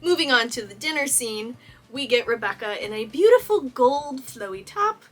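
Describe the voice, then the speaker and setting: A woman's voice: high voice, lively intonation, normal speed, soft background music. A young woman from Texas, a cosplayer, chatting enthusiastically through a casual movie costume analysis and laughing often. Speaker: cosplayer and video host.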